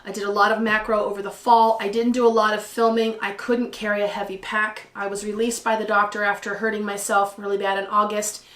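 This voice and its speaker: A woman vlogging casually to camera, a little hoarse and clearing her throat now and then, chatty and excitable. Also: mid-range voice, a little fast, hardly any pauses, echoey room. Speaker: photography vlogger. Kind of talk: casual vlog talk to camera. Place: living room at home by a patio door.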